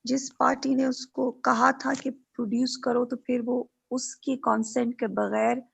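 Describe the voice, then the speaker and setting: A woman with a creaky, husky voice, a law student checking a point in class. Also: on the high side, flat delivery, a little slow, rough recording. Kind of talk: informal classroom question.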